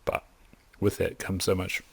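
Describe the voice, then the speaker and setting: A young man, talking as a podcast guest, rambling eagerly with lots of "like" and restarts. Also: a little slow. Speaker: podcast guest, hunter and optometrist. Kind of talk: casual interview chat.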